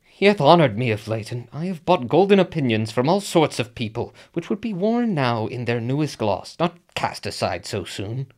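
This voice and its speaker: An actor playing a brooding, conflicted nobleman with deliberate, theatrical verse delivery in a Shakespearean radio drama. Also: medium pitch, sing-song, a little mellow. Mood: tense, reluctant.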